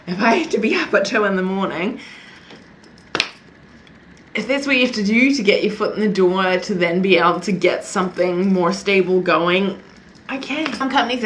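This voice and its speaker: A young woman with a New Zealand accent chats casually to her camera, breaking into small laughs and self-mocking asides. Her voice sits in an ordinary middle pitch, and a faint ambient hiss runs under her voice. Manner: chatty, laughs often, self-deprecating asides. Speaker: vlogger.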